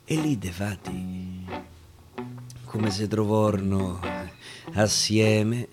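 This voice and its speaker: Un uomo con accento romano che racconta versi in dialetto in modo teatrale, passando dal sommesso al declamato. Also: male voice, lowish voice, fairly even intonation, drawn-out words. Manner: dramatic, swinging between hushed and declaimed. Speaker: actor, storyteller. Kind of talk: theatrical dialect verse storytelling. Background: music.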